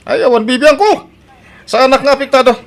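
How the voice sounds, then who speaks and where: A man's voice: very high-pitched, fairly even intonation, brisk. A man playing a defensive, quarrelsome husband in an audio drama, whining and indignant, with an Ilocano accent. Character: defensive, quarrelsome husband bickering with his wife.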